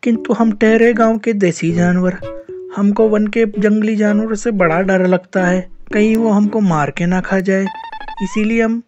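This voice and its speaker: A woman as a low, plaintive village donkey. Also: fairly even intonation, unhurried, darkish tone, dull audio, natural voice. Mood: fearful, hesitant. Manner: plaintive, grumbling. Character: skinny overworked male village donkey, timid and complaining. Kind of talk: animated animal fable dialogue. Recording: music behind the voice.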